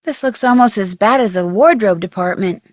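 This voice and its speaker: A young American woman playing a curious, chirpy amateur detective in an adventure game, thinking aloud with clear enunciation. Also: mid-range voice, normal speed, a little mellow, no echo, clean studio sound, natural voice. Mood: musing. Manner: clearly enunciated, inquisitive musing to herself.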